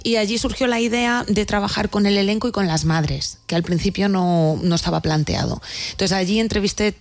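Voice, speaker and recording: A woman talking in an interview as a theatre director, telling her story in a wry, animated way. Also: mid-range voice, brisk. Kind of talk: conversational interview answers.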